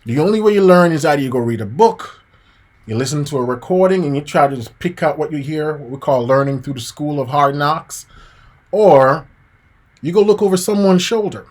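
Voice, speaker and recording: A man's voice: fairly high pitch, lively intonation, a little fast. A man from Jamaica in his thirties, a piano teacher live-streaming a motivational lesson, talking casually and repeating his points. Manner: casual and preachy, addresses viewers as guys and man, repeats key points.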